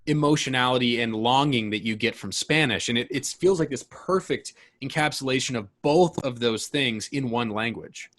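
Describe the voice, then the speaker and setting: A man's voice: medium pitch, moderate pace, hardly any pauses, a bit bright. A man hosts a casual music podcast in a mid-range voice. He rambles with enthusiasm and keeps correcting himself mid-sentence. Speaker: podcast host. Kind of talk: casual conversation about albums.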